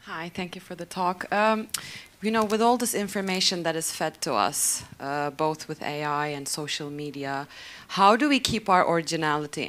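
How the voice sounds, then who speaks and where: A woman's voice: fairly low pitch, a bit bright. Earnest woman asking a question. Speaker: audience member.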